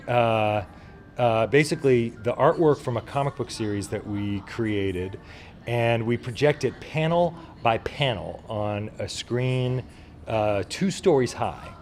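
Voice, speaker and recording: A man's voice: medium pitch, a little mellow, a little hiss or hum. A man speaking informally and with enthusiasm as the guest in a casual interview, explaining his work as a writer and producer.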